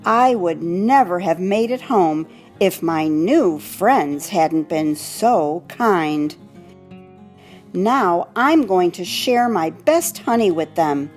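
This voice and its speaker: A woman voicing a greedy but kind-hearted male bee in a children's storybook, with drawn-out, dramatic delivery. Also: on the low side, expressive, soft background music. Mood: sheepish, generous.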